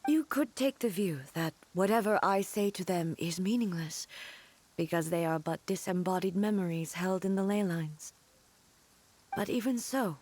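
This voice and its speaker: A woman playing a solemn, regal immortal ruler in an anime game, with formal, measured, earnest speech. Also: medium pitch, darkish tone, natural voice. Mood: sorrowful, solemn. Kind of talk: dramatic anime game voice acting.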